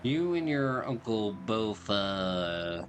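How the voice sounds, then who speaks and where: A man's voice: on the low side, very animated, extremely slow. A man hosting a casual game playthrough, reading dialogue aloud and commenting informally. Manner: informal, slangy. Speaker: gaming video host. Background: game music.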